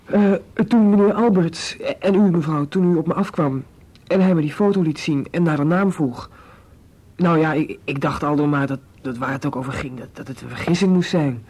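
A man's voice: on the high side, normal speed, a little mellow, husky. A young man playing a nervous, evasive seventeen-year-old schoolboy in a radio drama, hesitant, stammering and defensive. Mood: nervous, explaining.

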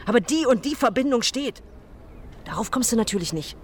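A woman's voice: fairly high pitch, expressive, a little fast, slight background noise, close to an everyday voice. An actress playing a blunt, slangy young woman who is hurt and cutting toward her boyfriend in a naturalistic radio drama. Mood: angry, scornful. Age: young adult.

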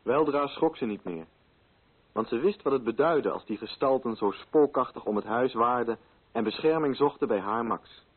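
An actor playing a sober literary narrator, telling a story in scripted radio drama style. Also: male voice, mid-range voice, animated, normal speed, slightly rough. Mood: sober, solemn. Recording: muffled old radio recording.

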